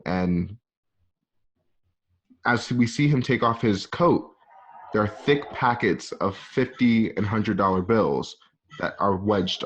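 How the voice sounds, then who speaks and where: Low-pitched man reading stage directions. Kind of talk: scripted narration of a screenplay table read. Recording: dull, lo-fi home recording.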